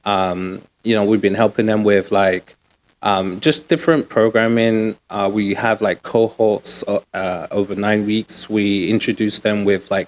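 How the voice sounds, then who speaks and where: A man talks easily as an interview guest. His voice sits low, and he is relaxed and slangy, with plenty of ums and likes. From Uganda, raised in the UK. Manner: relaxed, slangy, many fillers. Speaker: guest, nonprofit co-founder. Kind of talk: casual interview talk.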